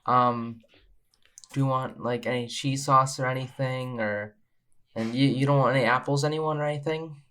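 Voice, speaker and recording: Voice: mid-range voice, fairly even intonation, normal speed, fairly bright tone. A tabletop game player speaking hesitantly and friendly, with many fillers.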